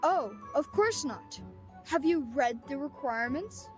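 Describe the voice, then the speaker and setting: A woman's voice: high-pitched, animated, measured pace. A woman voicing a chatty, cheeky young street arcanist in a video game, theatrical and teasing, with music behind. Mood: breezy, sardonic. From Bosnia and Herzegovina.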